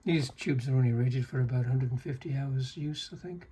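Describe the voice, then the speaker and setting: Mellow-voiced man demonstrating vintage gear. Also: a little hiss or hum. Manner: halting, low-key technical explaining. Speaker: vintage radio restorer demonstrating a set. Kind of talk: casual unscripted demonstration.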